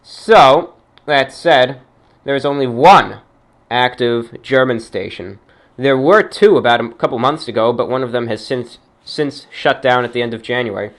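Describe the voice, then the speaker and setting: A man's voice: mid-range voice, a bit bright. A man hosting an informal radio-style show, speaking like an announcer with emphatic delivery. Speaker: show host.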